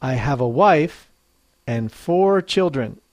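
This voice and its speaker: A teacher running a guessing quiz for learners, reading each clue slowly and clearly, then repeating it. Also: medium pitch, lively intonation, no echo, dull audio. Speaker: English teacher. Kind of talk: slow quiz dictation for learners.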